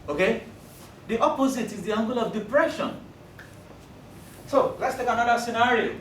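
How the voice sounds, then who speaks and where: A man's voice: extremely high pitch, normal speed, a bit bright, far from the mic, slight background noise. Joking male teacher, Yoruba native, roomy. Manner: joking, keeps checking students follow. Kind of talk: classroom math lesson. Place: classroom. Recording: roomy classroom recording from afar.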